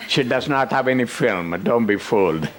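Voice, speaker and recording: A man's voice: medium pitch, animated, normal speed, fairly bright tone. An older man leading a conference workshop, talking to the audience and teasing them with jokes. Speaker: workshop presenter. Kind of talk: interactive conference workshop talk.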